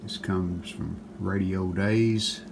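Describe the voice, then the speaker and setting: Slow, low-voiced man demonstrating repairs. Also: steady tone, gravelly, background noise. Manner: unhurried, plainspoken, pausing mid-sentence. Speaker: host of a restoration how-to video. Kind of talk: casual hands-on demonstration narration.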